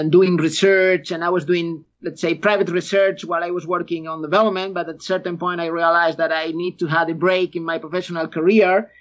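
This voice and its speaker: A middle-aged man with a Spanish accent, a podcast guest who talks haltingly with many restarts. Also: sits high, highs cut off. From Spain. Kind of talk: podcast interview.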